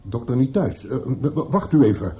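A man's voice: mid-range voice, very animated, a little fast, mellow, natural voice. An actor playing an obliging, down-to-earth male barkeeper in a radio play, speaking plainly and with concern. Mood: busy, coaxing. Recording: dull, noisy old radio play recording.

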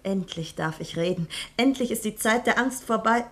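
A woman's voice: a little high, normal speed, lightly acted. An actress playing a cornered, scheming young woman who pleads and stammers in a 1960s German radio crime drama. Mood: pleading relief. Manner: breathless pleading, stammering, overwrought.

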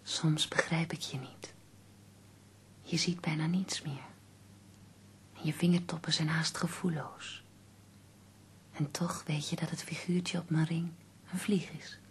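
A woman acting in a Dutch radio play as an ailing poet's devoted, earnest companion, soft, breathy and restrained. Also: low-pitched, little pitch movement, slow pace, long pauses, whispered. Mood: tender, puzzled.

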